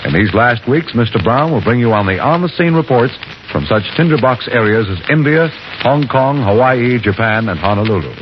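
A man's voice: medium pitch, animated, moderate pace. An American man announcing formally in 1950s network radio style. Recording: muffled, noisy 1950s radio recording.

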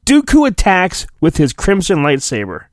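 A man's voice: high-pitched, huge pitch swings, normal speed, no room sound, clean studio sound. A man hosting a podcast, casual and self-deprecating, reading a children's storybook aloud in a playful mock-dramatic way. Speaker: podcast host.

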